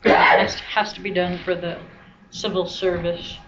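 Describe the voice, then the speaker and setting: A woman's voice: lowish voice, little pitch movement, unhurried. A woman answering questions as a staff official at a public meeting, explaining procedure in a firm, matter-of-fact way. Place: indoor meeting room. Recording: muffled meeting audio with clear noise.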